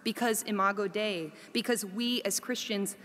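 A woman's voice: mid-range voice, a bit bright. Earnest woman speaking in a reverberant space. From Korea. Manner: earnest, measured, with emphatic lists. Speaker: professor. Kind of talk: reflective prepared talk.

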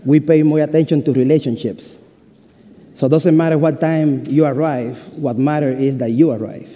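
A man's voice: a little high, fairly even intonation, moderate pace. A man in his forties from Cuba, speaking English with a Cuban Spanish accent, preaching a chapel sermon with humor and personal stories. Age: 40s. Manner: humorous, warm storytelling with self-deprecating jokes. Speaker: pastor. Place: university chapel. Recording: reverberant chapel hall.

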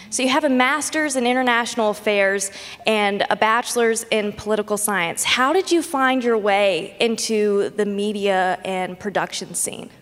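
A woman interviewing a guest, enthusiastic and encouraging. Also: medium pitch. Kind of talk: casual interview. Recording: long hall reverb.

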